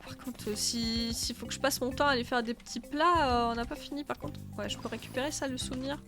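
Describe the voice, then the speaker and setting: A woman's voice: a little high, steady tone, fairly quick. Stuffy-nosed woman streamer chatting. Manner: chatty, thinks aloud and answers chat. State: stuffy nose. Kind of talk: casual live-stream game commentary. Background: faint game music.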